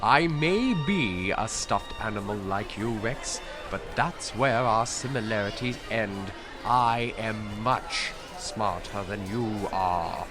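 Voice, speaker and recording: A man's voice: on the low side, slow, hardly any pauses, over music. A man voicing a bossy stuffed owl who is an impatient, superior know-it-all in a comic audio drama. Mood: smug, bossy. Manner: exasperated, superior, commanding.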